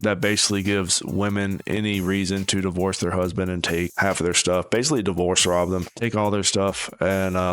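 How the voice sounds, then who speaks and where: Man, deep smooth voice, casual online commentator, blunt, scornful delivery. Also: a bit bright.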